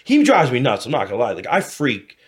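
A man's voice: mid-range voice, wildly swooping pitch, brisk, breathy edge. Profane, rambling American man in his thirties. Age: 30s. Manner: profane, rambling, repeats himself, frequent "you know". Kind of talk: casual candid podcast chat.

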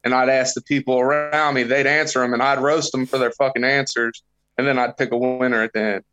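A man's voice: mid-range voice, flat delivery, a little fast, bright, forceful tone. A man in his thirties, a podcast guest telling crude, joking stories with lots of swearing in casual chat. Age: 30s. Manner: profane, joking storyteller.

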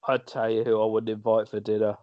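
A man's voice: lowish voice, brisk, no echo, dull audio. A man co-hosting a podcast, trading jokes in casual banter and laughing often. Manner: jokey, animated, laughs often. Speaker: podcast co-host.

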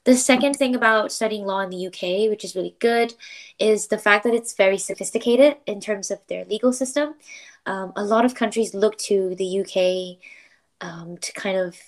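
A young woman from Malaysia, chatting as a podcast guest in a middle-pitched voice. She talks freely, laughs often, and fills her sentences with "like" and "you know". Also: moderate pace. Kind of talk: casual interview chat.

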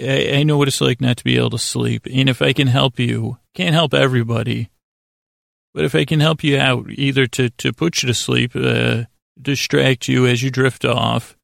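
A man hosting a sleep podcast in a casual, meandering monologue, halting and stammering with self-deprecating asides. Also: medium pitch, gravelly, no echo, clean studio sound. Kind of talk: rambling, casual bedtime monologue.

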